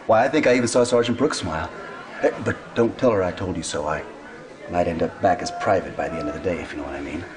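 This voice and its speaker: An actor playing a cheeky, boastful low-ranking soldier in a video game, with a jocular, chummy manner. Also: male voice, lightly acted. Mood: amused, chummy. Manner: jocular, drawn-out, chuckling.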